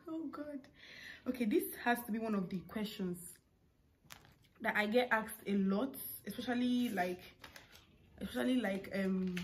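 A woman's voice: medium pitch, rough recording. A young woman with a Nigerian accent chatting casually to camera as a vlogger, with informal asides as she answers questions. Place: living room of a family house, indoors. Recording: clear household background noise. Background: household noises.

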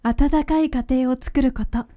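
Actress playing young woman, high voice, caring, sincere, radio drama. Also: steady tone, measured pace, dark tone. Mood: moved. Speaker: voice actor. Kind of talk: Japanese radio drama acting.

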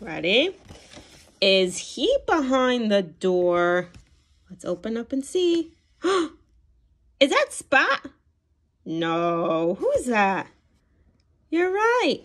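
A woman reading a picture book aloud to young children in an animated, expressive way, with gasps, questions and encouraging praise. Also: on the high side, extremely slow, pauses often. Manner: animated, expressive, sing-song. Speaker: children's read-aloud storyteller. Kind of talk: interactive picture-book read-aloud for toddlers.

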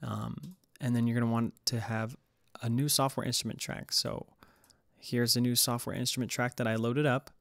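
A man hosting a casual music production tutorial, explaining in a hesitant, halting way. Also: medium pitch, vocal fry, dry recording, clean studio sound. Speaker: tutorial host.

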